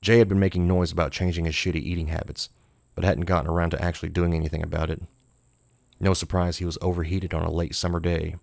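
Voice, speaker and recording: A man's voice: deep voice, vocal fry, darkish tone, no echo. A man narrating a scripted horror short story as an audiobook.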